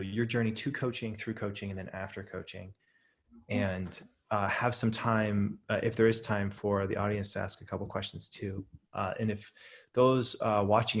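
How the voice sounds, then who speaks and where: A man's voice: fairly low pitch, gravelly. A man hosting an interview over a video call, rambling and enthusiastic with lots of filler words. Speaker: host, training company president. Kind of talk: interview conversation.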